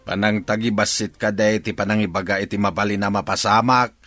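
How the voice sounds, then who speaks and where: A man's voice: fairly low pitch, fairly even intonation, a little fast, fairly bright tone, faint music behind, close to an everyday voice. A man playing a distrustful, grumbling middle-aged father who argues his way through a radio drama. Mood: irritated. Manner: grumbling, argumentative.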